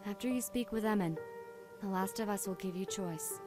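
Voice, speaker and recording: Woman voicing a grave sin spirit in a visual novel, measured and solemn, music clearly behind her. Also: medium pitch, natural voice. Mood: grave, resigned. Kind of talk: scripted visual novel voice acting.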